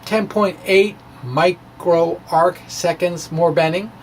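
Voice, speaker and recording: A middle-aged physics blogger gives an informal dramatic reading, halting and emphatic, with trailing asides. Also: mid-range voice, steady tone. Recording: background noise, ambient sound.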